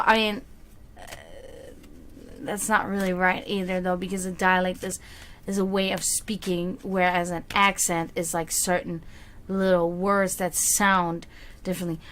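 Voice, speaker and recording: A woman whose first language is German talks in a loose, rambling way, with her pitch sitting in the middle of the range. She gives a casual card reading on a livestream and answers viewers as she goes. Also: level tone, moderate pace, fairly bright tone, slight background noise. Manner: chatty, rambling, reads chat aloud. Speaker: psychic reader streamer.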